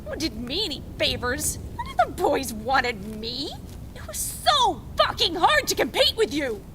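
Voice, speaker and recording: An actress playing a bitter, jealous, volatile kidnapper in an audio drama, with a snappish, aggrieved, commanding way of talking. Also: female voice, sits high, huge pitch swings, moderate pace, fairly bright tone, full character voice. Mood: bitter fury. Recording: noisy ambience behind the voice.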